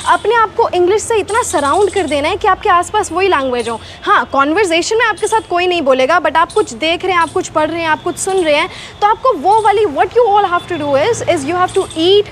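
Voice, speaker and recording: A young woman from Haryana with an Indian accent, answering interview questions in a relaxed, explanatory way, mixing in English phrases. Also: very high voice, slight background noise. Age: young adult. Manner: mixes Hindi and English, explanatory, gestures with hands. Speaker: guest, English accent coach. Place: outdoor garden courtyard under shade net. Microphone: clip-on lapel mic.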